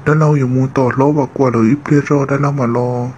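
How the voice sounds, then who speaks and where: A man voicing a male character in an amateur animated cartoon drama. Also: medium pitch, quick pace, lo-fi sound, a little hiss or hum, natural voice. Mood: conversational. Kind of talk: hobby animated cartoon dialogue.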